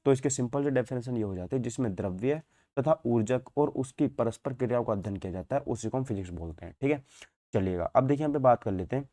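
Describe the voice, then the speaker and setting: A man's voice: mid-range voice, fast, mellow, no echo. A man giving an informal online lecture as a teacher, repeating points and asking questions that he answers himself. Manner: repetitive, asks and answers own questions, frequent check-in tags. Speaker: physics teacher. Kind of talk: informal online exam-prep lecture in Hindi mixed with English terms.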